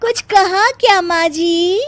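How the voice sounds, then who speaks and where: A woman's voice: very high voice, takes it slowly, no room sound, clean studio sound. A woman voicing a cunning young daughter-in-law who poses as a pious ascetic in a Hindi cartoon story. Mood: smugly calm.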